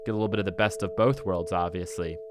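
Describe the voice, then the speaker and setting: Low-voiced man giving a casual software tutorial. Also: fairly even intonation, fast talker, background noise. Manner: explanatory, conversational, thinking aloud. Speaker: music software instructor.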